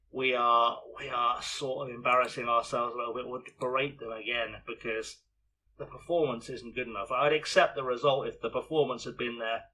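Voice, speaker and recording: A man with a scratchy, hoarse voice giving chatty, self-mocking play-by-play commentary on a football management game. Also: medium pitch, pushed and bright. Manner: chatty, self-mocking, narrates match action. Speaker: video game streamer.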